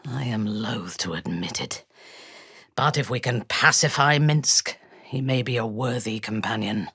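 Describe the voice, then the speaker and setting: A woman playing an imperious, ruthless dark elf warrior in a video game, haughty and commanding, with a husky, breathy delivery. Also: a bass voice, no echo, clean studio sound, exaggerated voice. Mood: cool, measured. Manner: haughty, commanding, measured, deliberate.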